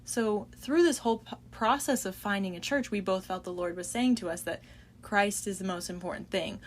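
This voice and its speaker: A woman's voice: mid-range voice, normal speed. A woman with a mid-range voice, speaking steadily and earnestly as a podcast co-host. A faint background noise sits under her voice. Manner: steady, earnest, sums things up. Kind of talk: casual faith chat.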